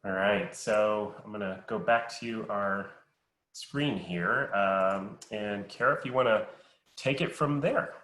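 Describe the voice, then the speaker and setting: Male webinar host, low-pitched, easygoing, filler-heavy, echoey room. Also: slow, fairly bright tone. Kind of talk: casual webinar hosting.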